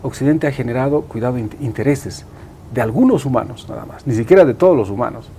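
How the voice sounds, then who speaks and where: Mellow man explaining emphatically. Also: mid-range voice, quick pace, slight background noise. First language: Aymara. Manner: emphatic, didactic, repeats and seeks agreement. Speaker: interview guest. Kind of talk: explanatory interview talk.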